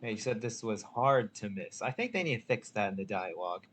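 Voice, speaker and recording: A man's voice: on the low side, expressive, a little fast, fairly bright tone, slight background noise. A man giving casual commentary as he plays through a video game. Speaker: gaming video creator. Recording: faint game music behind.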